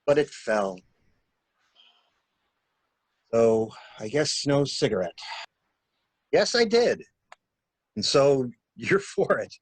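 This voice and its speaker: A man acts a probing stranger in a murder mystery reading. His slightly husky voice is lively and varied, coolly insistent and a little halting, recorded close and dry. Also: mid-range voice, no echo. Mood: coolly curious.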